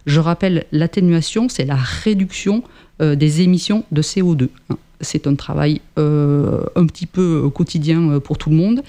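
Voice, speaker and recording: A man's voice: a little high, dark tone. A French-speaking man in his fifties, a guest answering interview questions about climate work, hesitant with many fillers and restarts. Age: 50s. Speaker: guest, climate association member.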